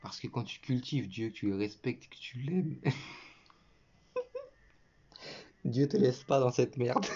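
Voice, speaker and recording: A man's voice: medium pitch, big pitch swings, slow, long pauses, darkish tone, slightly rough. A man giving a combative religious commentary to his viewers, lecturing and scornful, addressing the listener directly and breaking into mocking laughter. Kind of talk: combative reaction monologue to viewers. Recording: muffled lo-fi recording.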